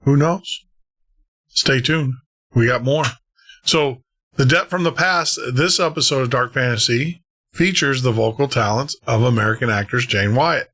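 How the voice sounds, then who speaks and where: Chatty man with a bright voice. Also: medium pitch, a little slow. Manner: chatty, apologetic, laughs easily. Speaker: podcast host. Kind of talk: casual chat.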